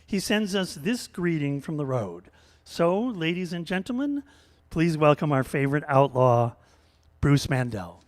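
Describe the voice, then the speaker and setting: A man's voice: fairly high pitch, sing-song, unhurried, slight background noise. A man hosting a live variety show, announcing a guest to the audience. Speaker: show host. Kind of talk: stage announcing. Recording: reverberant hall recording.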